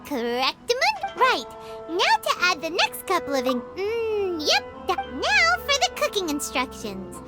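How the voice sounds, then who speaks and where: A woman voicing a tiny, chirpy, childlike fairy companion in an anime-style game, squeaky, bubbly and loyal. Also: huge pitch swings, unhurried, hardly any pauses, loud music behind the voice, heavily acted. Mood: busy, upbeat. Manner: exclamatory, bubbly, speaks of self by name.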